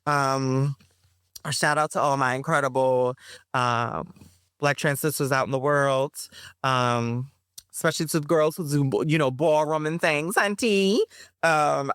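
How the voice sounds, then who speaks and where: A woman who is a poet and interview guest, talking in an emphatic, expressive way. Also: a bass voice, slow pace, no room sound, studio quality. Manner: emphatic, laughs often while talking. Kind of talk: conversational interview, reciting own poem.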